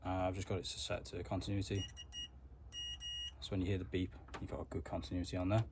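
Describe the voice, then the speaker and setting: Low, flat-voiced man, casual DIY tutorial. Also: brisk, background noise. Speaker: DIY car mechanic vlogger. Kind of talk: casual hands-on tutorial narration.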